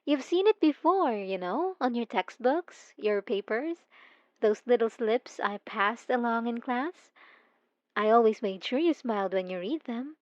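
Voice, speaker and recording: A woman playing an obsessive, possessive young stalker in an ASMR roleplay, talking softly and intimately with teasing giggles. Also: a little high, lively intonation, a little slow, no echo, lo-fi sound. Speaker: narrator speaking to the listener.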